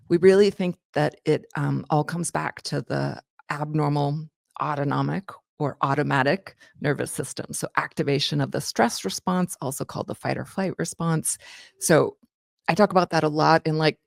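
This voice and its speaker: Low gravelly woman, cohost who wrote a book, excited about research, lots of likes and ums. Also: no room sound, clean studio sound. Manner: enthusiastic, cites research, many fillers like "like" and "um". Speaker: co-host and author. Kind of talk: casual explanatory chat.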